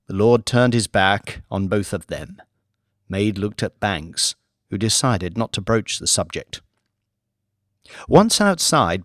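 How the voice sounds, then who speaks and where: A man's voice: medium pitch, no echo. A man reading dramatic scripted audiobook narration into a close mic.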